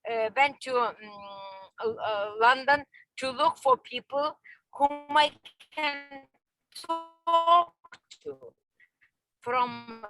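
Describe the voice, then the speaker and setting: A woman in her sixties with a Lithuanian accent, a non-native English speaker, talking haltingly with many fillers as a guest on a video call. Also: on the high side, a bit bright, poor audio. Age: 60s. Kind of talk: online meeting talk.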